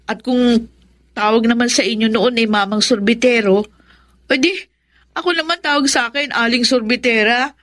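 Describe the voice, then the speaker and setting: An actress playing a cheerful, ambitious young adult daughter, respectful and playful, in a Tagalog radio drama. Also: female voice, a little high, moderate pace, a bit bright, close to an everyday voice. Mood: playful protest.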